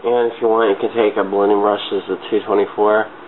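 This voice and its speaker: A man's voice: on the low side, little pitch movement, fast talker. A man with a congested, stuffy voice from a cold, chatting casually and a little loosely through a makeup tutorial. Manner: informal, giggly, loosely rambling. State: has a cold. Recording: muffled phone-like webcam-style audio, clear noise.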